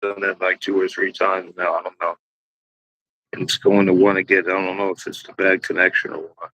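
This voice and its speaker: A man with a deep, raspy voice hosting a live chat room, chatting casually to himself while he waits for people on a small external mic. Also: level tone, a little fast, pushed and bright, no echo, low-quality recording. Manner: rambling, talks to himself while waiting.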